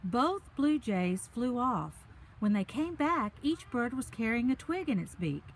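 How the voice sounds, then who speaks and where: A woman's voice: on the high side, slight background noise. A woman reading a picture book aloud to children, speaking to them directly in simple, chatty asides. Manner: addresses young listeners directly in simple, encouraging asides. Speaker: children's story reader. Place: outdoors on a park trail.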